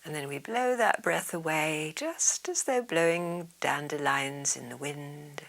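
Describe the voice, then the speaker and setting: A woman yoga teacher leading a guided meditation in a soft, soothing, half-whispered way. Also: deep voice, lively intonation, a little slow, gravelly.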